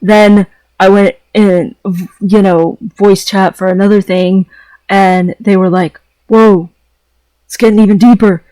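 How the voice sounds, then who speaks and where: A man's voice: high voice, level tone, measured pace, warm tone, no echo, rough recording. A man talking in a casual, rambling vlog style, hesitant, often repeating phrases and trailing off mid-thought. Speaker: vlogger.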